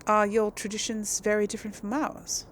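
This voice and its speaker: A woman's voice: mid-range voice, little pitch movement, moderate pace, a little hiss or hum. A woman giving casual game commentary, musing aloud as she plays and role-plays dialogue. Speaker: gamer, series host.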